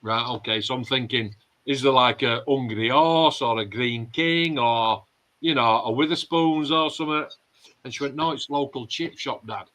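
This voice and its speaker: A man's voice: medium pitch, moderate pace, bright, forceful tone. A middle-aged man with a Yorkshire accent hosting a casual online chat, telling stories bluntly with plenty of swearing. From Yorkshire, England. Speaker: host of a modelling club chat.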